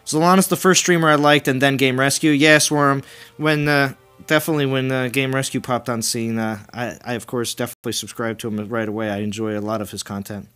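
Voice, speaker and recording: Bright-voiced easygoing male streamer. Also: mid-range voice, fairly even intonation, a little fast, clean tone. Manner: easygoing, lots of yeahs and mm-hmms, stammering restarts. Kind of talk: casual chat on a game stream.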